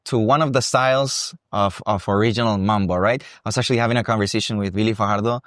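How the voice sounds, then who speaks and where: A young man with a Costa Rican accent answers interview questions in a relaxed, considered way, often restarting his phrases. His voice sits on the low side. The sound is dry and close, with little room echo. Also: fast talker. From Costa Rica. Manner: relaxed, thoughtful, with frequent restarts. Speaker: guest, salsa dancer and teacher. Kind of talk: casual interview. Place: salsa congress venue.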